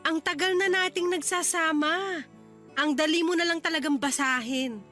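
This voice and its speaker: A woman playing a frustrated, sharp-tongued wife in a Tagalog radio drama, confrontational and emphatic. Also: very high-pitched, moderate pace. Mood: stern, probing. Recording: music bed under the voice.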